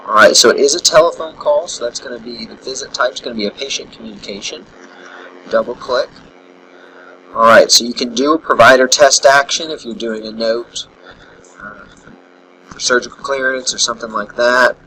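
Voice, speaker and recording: A man's voice: medium pitch, normal speed, rough recording. A man narrating a casual, step-by-step screen tutorial as an instructor, thinking aloud as he demonstrates. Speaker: instructor, software trainer. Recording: bright recording with faint background noise.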